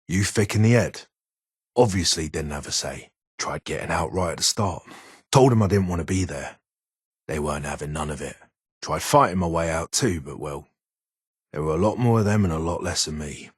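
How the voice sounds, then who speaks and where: An actor playing a gruff, irritable older ghoul weapons engineer in a video game, with a British accent, a gravelly rasp and a curt, guarded manner. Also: male voice, sits low, animated, normal speed, no room sound, clean studio sound. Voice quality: raspy, gravelly. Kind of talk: video game character dialogue.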